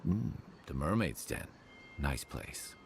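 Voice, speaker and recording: An actor voicing a relaxed, wry companion in a fantasy game. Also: male voice, extremely low pitch, slow pace, gravelly, a little hiss or hum, full character voice. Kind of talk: game dialogue.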